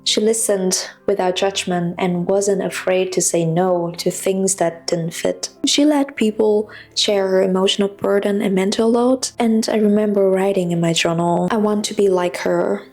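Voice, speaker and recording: Young non-native woman with slightly creaky voice. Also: mid-range voice, steady tone, moderate pace, few pauses, darkish tone, soft background music. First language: not English. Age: 20s. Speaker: personal vlogger. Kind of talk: reflective scripted personal narration.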